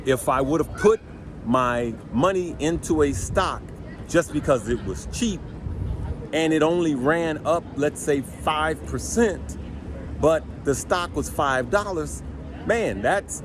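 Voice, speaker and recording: Deep-voiced man talking stocks over traffic noise. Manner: emphatic, repeats points and checks in with "right?". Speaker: stock trading channel host. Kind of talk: casual finance advice to viewers.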